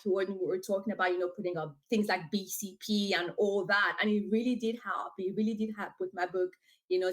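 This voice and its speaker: This is a woman from DR Congo, with French as her first language, talking as a guest on a podcast over a video call. Her voice sits at a middle pitch. She talks in an animated, talkative way, with frequent fillers and laughs. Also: poor audio. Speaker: author and guest. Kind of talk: casual interview.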